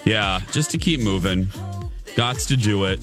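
A male radio host with a low-set voice who projects every line like he's on stage. His banter is loose and theatrical. A soft music bed plays quietly underneath. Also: lively intonation, a little slow. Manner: loud, projecting, theatrical talker. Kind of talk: casual morning show banter. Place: studio.

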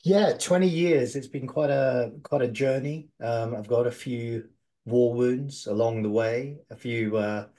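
A middle-aged man, an agency founder, answering interview questions in a measured way with many "uh"s and "um"s. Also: medium pitch, mellow. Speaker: guest, agency founder and CEO.

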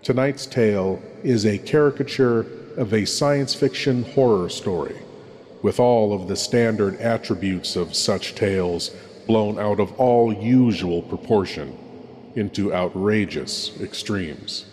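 A man plays a spooky lord who hosts old-time radio horror. He speaks very slowly and theatrically, every phrase ominous. His voice rings in a long, reverberant hall. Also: lowish voice, darkish tone, close to an everyday voice. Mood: ominous, formal. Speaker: horror show host.

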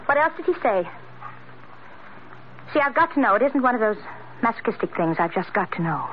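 An actress playing a polite, anxious young heiress who keeps herself tightly controlled, in old-time radio drama style. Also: female voice, a little high, big pitch swings, brisk. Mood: earnest, pleading. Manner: polite, restrained, earnest. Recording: dull vintage radio recording with faint hiss.

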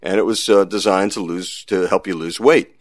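Low-voiced American man hosting a podcast. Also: steady tone, normal speed, no echo. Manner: wry, dry humor. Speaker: podcast host. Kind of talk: conversational science podcast narration.

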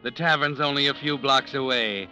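Sardonic old-radio mystery narrator over music. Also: male voice, mid-range voice, normal speed, bright, forceful tone, rough recording. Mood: sardonic, insinuating. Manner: insinuating, taunting, addresses the character as you. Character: sardonic all-knowing male narrator needling the protagonist. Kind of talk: 1940s radio drama narration.

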